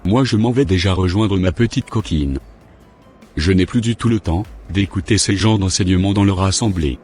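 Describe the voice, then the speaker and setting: A man's voice: low voice, normal speed, a little mellow, lightly acted. A man playing a boastful, worldly backsliding preacher in an amateur cartoon, self-satisfied in manner. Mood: smug, dismissive. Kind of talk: amateur cartoon monologue and dialogue. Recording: music behind the voice.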